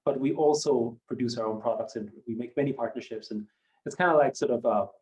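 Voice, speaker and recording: Man in his fifties, German native speaker, mellow dark tone, measured, hedging speech. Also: medium pitch, poor audio. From Austria. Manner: measured and reflective, many hedging fillers. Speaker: company founder and guest. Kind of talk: podcast interview.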